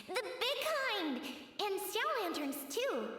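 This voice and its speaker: A woman's voice: extremely high pitch, sing-song, takes it slowly, fairly bright tone, exaggerated voice. A woman voicing an earnest child who dreams of becoming a lantern artisan. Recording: echoey distant flashback with music.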